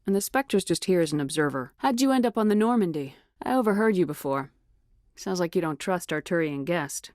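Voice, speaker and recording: A tough female officer in a sci-fi military game. Her voice sits on the low side and is recorded very dry, with no room around it. She speaks with clipped, steady authority and no nonsense. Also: dry recording. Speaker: video game protagonist. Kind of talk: video game dialogue acting.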